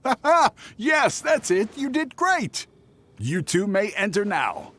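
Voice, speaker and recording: An actor playing a jovial, coaxing older drink vendor in a video game, with a husky voice and a hearty, theatrical manner. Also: male voice, high voice, sing-song, slow, a bit bright, exaggerated voice.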